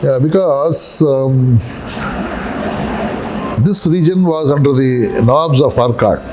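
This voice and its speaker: A man, an Urdu speaker, answering interview questions in a measured, explanatory way and often repeating key phrases. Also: medium pitch, background noise. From Tamil Nadu, India. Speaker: interview guest, local historian.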